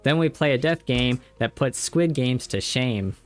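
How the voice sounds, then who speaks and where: A man's voice: mid-range voice, expressive, a little slow, soft background music. A man narrating a casual scripted recap of a video game, with tongue-in-cheek exaggeration.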